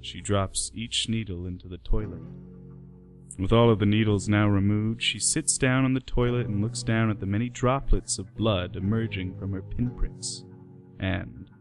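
Low-voiced man narrating. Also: steady tone, moderate pace, faint music behind. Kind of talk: scripted radio play narration.

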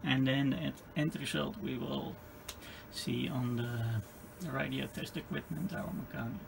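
Gravelly Dutch-accented man demonstrating equipment. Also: medium pitch, steady tone. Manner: halting, hesitant phrasing with repeated words. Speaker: hobbyist demonstrator. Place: indoor electronics workbench. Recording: clearly noisy room recording.

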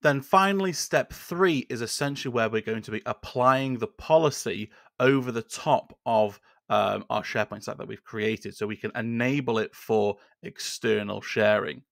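A man in his thirties hosting a screen-share software tutorial, talking in a relaxed, conversational way with frequent fillers from a desk in a small indoor room. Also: medium pitch, moderate pace, gravelly, no room sound, studio quality. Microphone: studio microphone on a boom arm.

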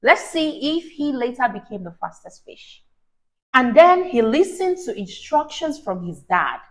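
A young woman reading a story aloud to a child in an expressive, encouraging, teacherly way, often prompting with questions. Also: a little high, a little slow, low-quality recording. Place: small indoor room on a sofa. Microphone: lapel mic.

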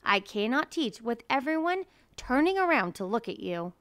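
A woman voicing a stern, exasperated male schoolteacher from a children's storybook, scolding loudly and emphatically. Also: on the high side, sing-song, normal speed, no room sound, studio quality.

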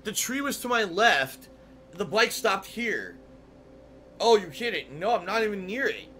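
A man streaming gameplay from a small room, talking over a headset with a lively, swinging pitch that sits a little high. He rants and swears at the game. Loud game audio sits under his voice throughout. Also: a little slow, slight background noise. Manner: frustrated, swearing, ranting. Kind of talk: live gameplay commentary. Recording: game sounds loud behind voice.

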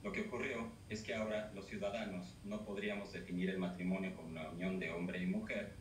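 Low-voiced man guest on a noisy video link. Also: fairly bright tone. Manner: formal, hesitant with fillers. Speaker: interview guest. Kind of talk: TV news interview. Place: office with bookshelves. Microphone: video call. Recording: roomy, distant, noisy, played off a TV.

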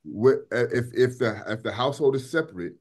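A man's voice: mid-range voice, expressive, brisk, a little mellow. A man runs a class discussion over a video call. He talks in a loose, conversational way, repeats his words and presses students with pointed questions. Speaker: lecturer.

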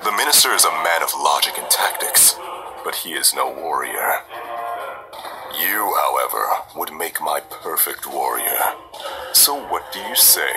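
Man acting a deep-voiced scheming skeleton. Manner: grandiose, melodramatic. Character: scheming theatrical skeleton plotting a club coup. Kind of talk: fan comic dub acting.

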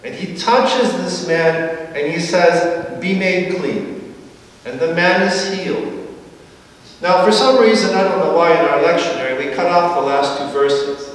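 A middle-aged man preaching a sermon from a church pulpit, explaining at an easy pace with slow, emphatic phrases and a dry touch of humor. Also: fairly high pitch. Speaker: priest. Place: large church sanctuary, at a pulpit. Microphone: far camera. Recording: echoey church from far off.

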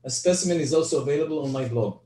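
An older Hebrew-speaking man, giving an online spreadsheet lecture from home over a headset, halting with frequent restarts. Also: fairly high pitch, dark tone, slight background noise. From Israel. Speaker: guest presenter. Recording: echoey, distant video call audio.

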